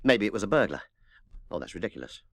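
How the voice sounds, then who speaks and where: A curious young boy from a children's storybook, voiced by a man reader. He wonders aloud earnestly and can be a little bossy. His pitch swings in a lively way, in a dry recording. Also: fast talker, vocal fry, no echo, full character voice. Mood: musing, hesitant.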